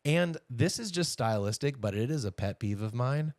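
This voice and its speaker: A man's voice: mid-range voice, expressive, moderate pace, a little mellow, no room sound, clean studio sound. An American man co-hosting a podcast, chatting casually and giving wry, opinionated asides on entertainment news. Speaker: podcast co-host.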